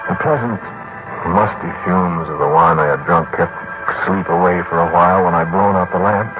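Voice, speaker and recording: An actor playing a pensive, polite student lodger in a 1940s radio drama, speaking in a measured, brooding way. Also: male voice, low-pitched, natural voice. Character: pensive male student lodger recounting an eerie memory. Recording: tinny, band-limited 1940s radio recording with music.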